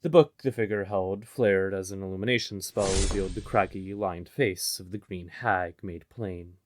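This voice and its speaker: A man narrating a scripted fantasy story in a measured, dramatic way. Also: deep voice, fairly even intonation.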